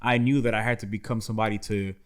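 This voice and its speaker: A man's voice: fairly low pitch, steady tone, fast. A man in his twenties, from the Dominican Republic, telling his life story in an earnest, slangy way as a podcast guest. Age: 20s. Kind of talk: casual interview chat.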